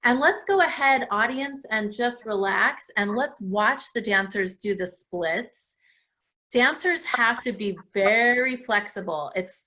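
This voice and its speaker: A woman's voice: medium pitch, sharp tone, low-quality recording. A woman leading a live stretching lesson for viewers at home in an encouraging way, with frequent praise. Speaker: dance class host leading children's stretches.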